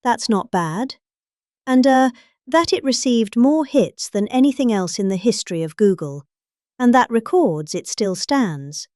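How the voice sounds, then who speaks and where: Pompous British woman with swooping, animated delivery. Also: a little high, measured pace, no echo, clean studio sound. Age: middle-aged. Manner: pompous, exaggerated emphasis. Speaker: performer reading out a political speech. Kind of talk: dramatic comic reading of a speech.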